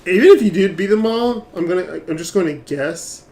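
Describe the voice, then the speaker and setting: A man's voice: sits high, lively intonation, fairly quick, a little mellow. A man giving casual, informal live commentary as he streams a game, reacting aloud to it. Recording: faint background noise.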